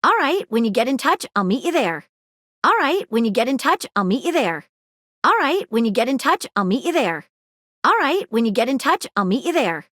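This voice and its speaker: A formal, lively detective object. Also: female voice, a little high, moderate pace, no echo, clean studio sound. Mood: warm. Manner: formal, precise detective phrasing. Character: earnest female detective, a household object. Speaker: voice actor in a dating game. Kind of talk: game dialogue clips. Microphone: studio booth mic.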